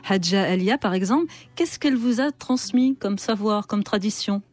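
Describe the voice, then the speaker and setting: Radio host woman, measured and literary. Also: mid-range voice, darkish tone. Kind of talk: radio interview.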